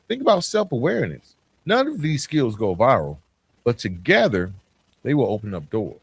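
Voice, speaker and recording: Man, medium pitch, motivational podcast host, informal, emphatic, deliberate phrasing. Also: husky. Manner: conversational, informal, with "right?" check-ins. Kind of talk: motivational self-improvement monologue.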